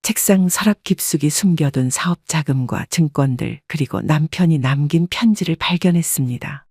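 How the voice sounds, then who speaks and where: A woman narrating a story in the first person, calm and measured. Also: low voice, breathy edge, no room sound, studio quality.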